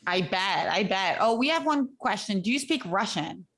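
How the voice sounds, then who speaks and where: A woman's voice: mid-range voice, expressive, moderate pace, creaky, fairly bright tone. A woman hosting an online girls' chess class over a video call, encouraging the students and steering the session. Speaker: chess club host.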